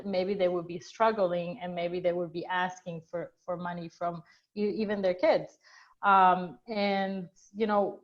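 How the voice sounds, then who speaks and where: A middle-aged woman from Ecuador, a real estate agent, talking earnestly in a webinar conversation with frequent restarts and fillers. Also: mid-range voice. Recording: dull lo-fi webinar audio.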